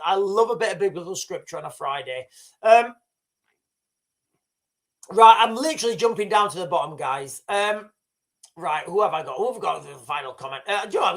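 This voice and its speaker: A man's voice: high-pitched, expressive, a little fast, fairly bright tone. Man, forties, live-stream host, rambling, repetitive, casual. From United Kingdom. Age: 40s. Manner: rambling, repeats himself, keeps calling viewers "guys". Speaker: livestream host.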